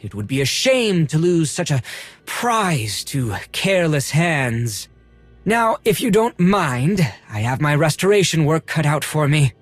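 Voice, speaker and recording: An actor playing a fussy, haughty collector and droid restorer in a video game, with a prim, formal manner. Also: male voice, a little high, very animated, measured pace, no room sound, faint music behind. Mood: haughty, guarded.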